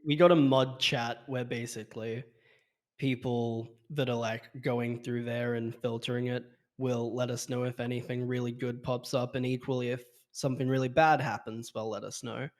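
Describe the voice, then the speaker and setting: A man hosting a casual voice-call chat, getting over a fever and explaining things in a relaxed way. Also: mid-range voice, normal speed. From Australia. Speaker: Discord hangout host.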